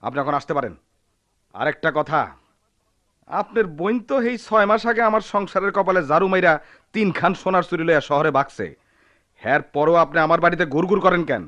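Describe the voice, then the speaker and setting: An actor playing a proud, hot-tempered poor village husband in a Bengali radio drama, with a rural Bangladeshi accent and a theatrical, defiant manner. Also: male voice, on the high side, fairly quick, fairly bright tone, highs cut off, natural voice. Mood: furious, defiant.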